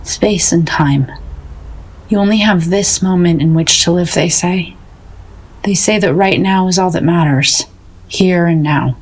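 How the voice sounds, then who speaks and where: An actress playing a self-doubting, melancholy woman painter in an audio drama, with a slightly husky voice. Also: fairly low pitch, a little slow, low-quality recording. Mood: gloomy, brooding.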